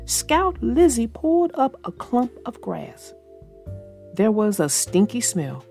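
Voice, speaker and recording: A woman's voice: a little high, big pitch swings, slow pace, vocal fry, warm tone, soft background music. A woman hosting a children's read-aloud, narrating a storybook and chatting casually about it.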